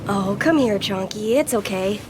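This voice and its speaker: An actress playing a wry, caring restaurant worker who speaks earnestly and reproachfully in an audio drama. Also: female voice, normal speed, natural voice. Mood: soothing, lecturing. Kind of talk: scripted audio drama acting. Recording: rumbling background noise.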